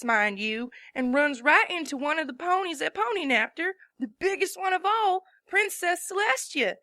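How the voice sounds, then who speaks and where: Woman doing a down-home farm pony gal, bright high voice, chatty and stubborn, dry mic. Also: dry recording, heavily acted. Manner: folksy, explaining at length. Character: sturdy determined female farm pony. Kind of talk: fan audiobook reading.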